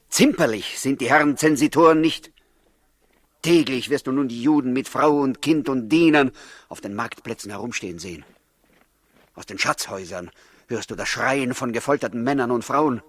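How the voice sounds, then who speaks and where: An actor playing a bitter, sceptical rebel of ancient Judea, sarcastic and challenging, in 1960s German radio drama style. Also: male voice, medium pitch, normal speed, no room sound, natural voice. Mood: sardonic.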